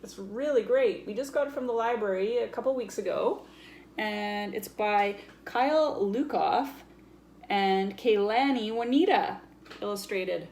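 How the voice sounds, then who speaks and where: A woman's voice: medium pitch, a little slow, a little mellow, a little hiss or hum. A woman presenting a children's story time, chatting casually and playfully as she shows things and explains them simply. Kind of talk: casual show-and-tell for children.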